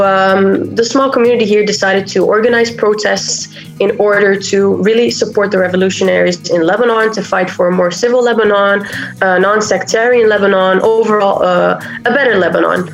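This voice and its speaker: Woman from the Netherlands speaking as a radio guest at a middle pitch. She answers unscripted, with frequent ums and uhs as she thinks aloud. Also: poor audio. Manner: unscripted, with many fillers. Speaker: guest, volunteer group co-founder.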